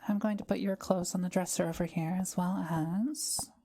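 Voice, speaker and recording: A woman playing a kind, soft-spoken forest witch in an intimate audio roleplay, talking to the listener in gentle, formal, old-fashioned wording. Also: fairly low pitch, fairly even intonation, moderate pace. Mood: warm, hospitable.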